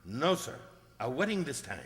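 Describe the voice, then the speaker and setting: A man's voice: mid-range voice, very animated, normal speed, lightly acted. A man playing a cheerful, nosy, talkative village postman with a folksy, self-satisfied manner in a radio drama. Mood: chatty, proud. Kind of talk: amateur radio drama acting. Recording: long hall-like reverb.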